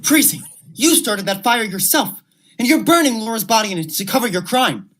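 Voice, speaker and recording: A woman's voice: on the high side, lively intonation, fairly quick. A young woman playing an agitated, accusing man in an old-style radio thriller, with a dramatic delivery. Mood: frantic. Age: young adult. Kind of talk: 1940s radio thriller acting.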